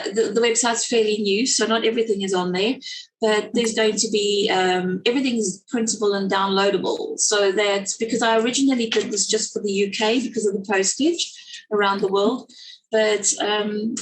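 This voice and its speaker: A woman's voice: mid-range voice, fairly even intonation, normal speed, low-quality recording. A woman with a South African accent, talking casually as a guest on a video call webinar, rambling with lots of fillers and restarts. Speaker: guest speaker, children's story writer.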